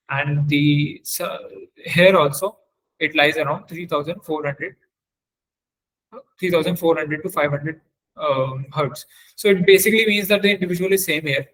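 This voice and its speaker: A man with a somewhat high-pitched voice leads a technical webinar. He talks like a trainer walking through a demonstration on screen. His explanation is halting, with repeated phrases and self-corrections. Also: fairly bright tone, low-quality recording.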